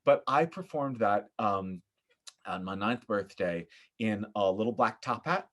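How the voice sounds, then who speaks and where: A man's voice: on the low side, unhurried. American man chatting animatedly on a podcast. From upstate New York, United States. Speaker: podcast guest. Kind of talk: casual podcast conversation.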